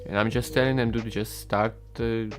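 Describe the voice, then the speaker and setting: A man's voice: on the low side, fairly even intonation, moderate pace, music in the background. A young man whose first language is Russian, chatting casually and rambling with stream viewers while he codes, swearing now and then. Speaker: solo game developer streaming.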